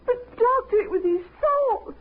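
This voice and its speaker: An actress playing a fretful, fussy wife with theatrical delivery in a 1950s radio drama. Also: female voice, extremely high pitch, expressive, unhurried. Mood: tearful, panicked. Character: fretful, scheming wife of a poisoned husband. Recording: scratchy, tinny 1950s radio recording.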